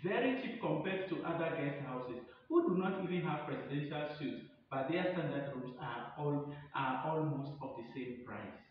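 A very echoey, distant recording with a faint rumble. A man acts as a chatty male receptionist with a slightly high voice, eagerly recommending in a promotional skit. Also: animated, normal speed, few pauses, close to an everyday voice. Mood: eager, persuasive. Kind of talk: acted promotional dialogue. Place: indoors, tiled front room. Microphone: distant camera or phone across the room. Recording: echoey room, distant dull mic, rumbling noise.

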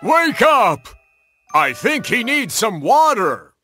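A man's voice: very high voice, sing-song, takes it slowly, pushed and bright, faint music behind, exaggerated voice. A man voicing a proud, bold big cat who would be king in a children's cartoon, with a boastful, emphatic delivery. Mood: alarmed.

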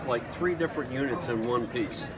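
A man's voice: mid-range voice, moderate pace. A middle-aged man chatting enthusiastically as he presents gear and asks questions in a casual product demo. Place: trade show booth, indoors. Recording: noisy trade show floor, distant mic.

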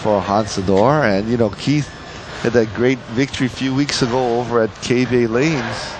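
A man's voice: medium pitch, fairly even intonation, normal speed. A man commentating on a bowling match in a jovial, bantering manner. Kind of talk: live sports commentary with some sponsor reads. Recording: noisy bowling alley broadcast.

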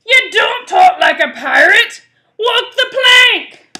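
A woman's voice: extremely high pitch, normal speed, bright, forceful tone, voice pushed up. A woman voicing a cranky, blustery male pirate captain who bellows in pirate slang for a storybook. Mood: exasperated. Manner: bellowing, rhyming pirate slang with bad grammar.

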